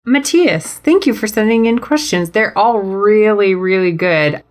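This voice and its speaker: A woman's voice: medium pitch, lively intonation, unhurried. A woman co-hosting a podcast, chatting casually with the panel. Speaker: podcast co-host.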